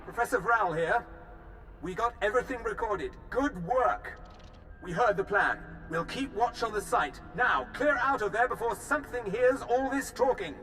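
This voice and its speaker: An actor playing an excitable, urgent male professor in a video game. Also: high voice, normal speed, low-quality recording. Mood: excited, urgent. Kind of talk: video game cutscene acting. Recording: faint music behind.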